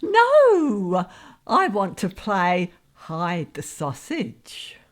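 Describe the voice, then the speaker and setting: A woman's voice: medium pitch, huge pitch swings, drawn-out words, heavily acted. A woman playing a naive, lovelorn woman in a comic radio soap, with childlike, melodramatic pleading. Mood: wistful, coy. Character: tearful, naive, lovelorn woman longing for marriage.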